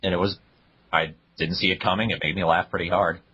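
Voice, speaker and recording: A man's voice: low voice, steady tone, fast talker, pushed and bright, breathy edge, muffled recording. A young man co-hosting a casual podcast, reviewing web videos in a blunt, dry way. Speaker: podcast co-host.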